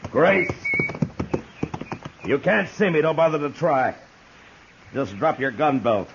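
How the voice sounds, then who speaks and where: A man's voice: a little high, very animated, takes it slowly, creaky, full character voice. An actor playing a terse, resolute American lawman in a 1950s radio western. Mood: commanding. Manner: terse, commanding. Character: stern, resolute frontier US marshal, grown man. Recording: muffled, noisy 1950s radio recording.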